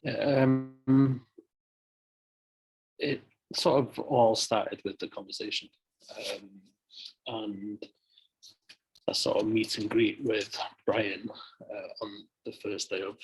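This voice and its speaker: A man in an artists' panel, speaking slowly and searching for words. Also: lowish voice, steady tone, slightly rough, poor audio. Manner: slow, measured, searching for words. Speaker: artist in the group.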